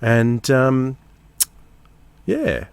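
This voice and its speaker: A man hosting a podcast in a casual, measured way, pausing mid-phrase. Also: mid-range voice, sing-song, vocal fry, clean tone, no room sound. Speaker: podcast host.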